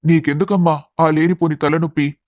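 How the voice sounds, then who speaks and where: A man's voice: a little high, little pitch movement, brisk, a little mellow, no room sound, clean studio sound, natural voice. A man voicing a mild, earnest middle-aged family man in a narrated story. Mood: worried.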